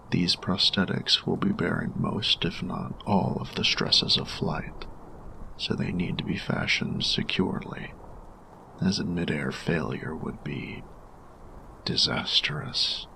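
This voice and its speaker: A man playing a grumpy, reserved steampunk artificer in an audio roleplay, speaking formally and deliberately in a gravelly, breathy murmur. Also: very deep voice, expressive, pushed and bright, slight background noise, full character voice, low-quality recording. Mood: focused, quietly approving. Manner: formal, measured, deliberate phrasing. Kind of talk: scripted audio roleplay addressed to the listener.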